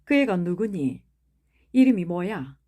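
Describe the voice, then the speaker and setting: Lady reading as a mild nervous doctor guy, soft and careful. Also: fairly low pitch, sing-song, measured pace. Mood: cautious. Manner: hesitant, mild. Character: timid middle-aged male doctor. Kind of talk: audiobook character reading.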